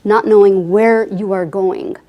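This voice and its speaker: A woman's voice: medium pitch, fairly even intonation, measured pace, highs cut off. Earnest woman guest telling her story. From Afghanistan. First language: Farsi. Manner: earnest, heartfelt, often says "I mean". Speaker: author and guest. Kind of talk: interview storytelling.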